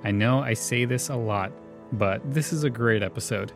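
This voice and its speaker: A man with a medium-pitched voice introduces a program as a podcast host. Faint music plays under his scripted delivery. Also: normal speed, gravelly.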